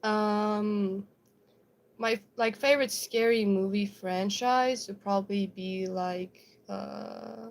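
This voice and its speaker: A young woman from South Africa, raised speaking Afrikaans, who talks with an American-sounding accent. She chats loosely with her stream audience, giggling often and filling gaps with ums and likes. Her voice sits at a middling pitch for a woman. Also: fairly even intonation, slow pace, gravelly. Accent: American-sounding English. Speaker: livestreamer. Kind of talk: casual chat reading viewer comments.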